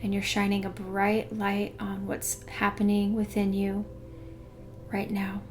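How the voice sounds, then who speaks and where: Slow, even-voiced woman guiding meditation. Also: medium pitch, a little hiss or hum. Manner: soothing, unhurried, hushed in places. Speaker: meditation guide. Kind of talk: guided meditation narration. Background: background music.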